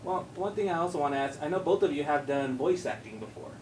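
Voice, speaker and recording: Guy interviewer, medium pitch, chatty questions, roomy sound w faint noise. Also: lively intonation, quick pace, a little mellow. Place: press junket room. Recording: echoey room, distant mic, faint noise.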